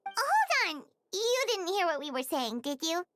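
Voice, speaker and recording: A woman voicing a tiny, earnest, chirpy childlike companion with a squeaky voice in a video game. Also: sing-song, a little slow, noisy background, full character voice. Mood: urgent, wary.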